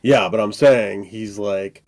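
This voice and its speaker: A man's voice: on the low side, slow pace, darkish tone. A man co-hosting a podcast while congested from a cold, rambling with hesitant, chuckling asides. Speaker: podcast co-host. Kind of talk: casual chat.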